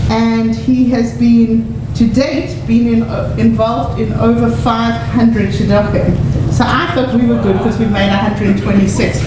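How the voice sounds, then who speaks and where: Woman host reading an introduction in a very echoey hall with clear background noise, higher voice. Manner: reads an introduction with fillers. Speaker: event host introducing speaker. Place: event hall.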